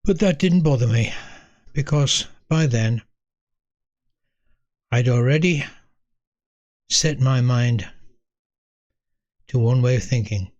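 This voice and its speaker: An elderly Englishman in his eighties reminisces at a very unhurried pace. He speaks in short, measured phrases with pauses between them, in a mid-pitched voice. The sound is dry and close, free of room echo. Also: a little mellow, dull audio. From North East England. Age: 80s. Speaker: storyteller, host of own video series. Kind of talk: reflective autobiographical storytelling to camera.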